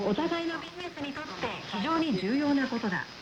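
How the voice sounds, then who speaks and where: A woman's voice: high voice, animated, racing, lo-fi sound. A woman reading a translated quote as a news voice-over, received over shortwave. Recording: shortwave radio, loud crowd-like din.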